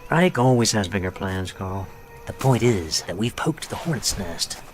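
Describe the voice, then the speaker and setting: A man as a low-voiced, genial intelligence officer. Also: lively intonation, moderate pace, close to an everyday voice. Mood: brisk briefing. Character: genial, shrewd male WWII intelligence officer giving briefings. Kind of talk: dramatic game cutscene acting. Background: music.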